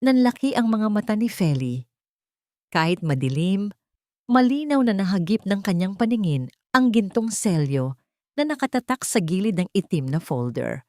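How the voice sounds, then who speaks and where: A woman's voice: mid-range voice, very animated, normal speed, warm tone, dry recording, studio quality. A woman reading a scripted dramatic story as its narrator.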